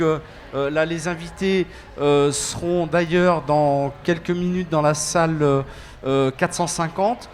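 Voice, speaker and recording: A man in his fifties from France talks on a web TV panel as an association organizer. He speaks in a high, lively voice and keeps starting over between fillers. His talk is casual and animated. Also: a little hiss or hum. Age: 50s. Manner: animated, hesitant with many fillers.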